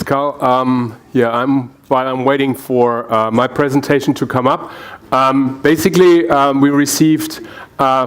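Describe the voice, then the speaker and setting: A man with a German accent giving a conference talk as an energy researcher, hesitant and full of fillers. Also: mid-range voice. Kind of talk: conference presentation.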